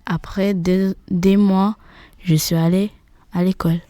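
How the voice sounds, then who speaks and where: A teenage girl from Uganda reading her own account aloud, slowly and carefully. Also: medium pitch, fairly even intonation, mellow. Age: teens. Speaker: student reading her text. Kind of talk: read-aloud personal account.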